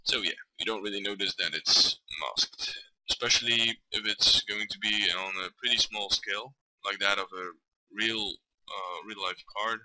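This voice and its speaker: A man from Belgium, hesitantly talking through a software tutorial with lots of fillers and self-corrections. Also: fairly low pitch, moderate pace, no echo, low-quality recording. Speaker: tutorial maker. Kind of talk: casual screen-recorded software tutorial. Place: at a computer desk.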